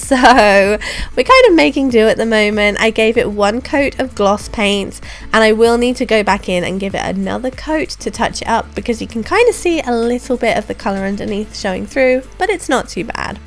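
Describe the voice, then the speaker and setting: A young woman narrates her home renovation vlog in a relaxed, chatty voiceover. Her voice is hoarse from a throat infection, with a gravelly, crackly edge. Soft music plays faintly behind her. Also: medium pitch. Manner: chatty, relaxed, self-deprecating. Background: soft background music.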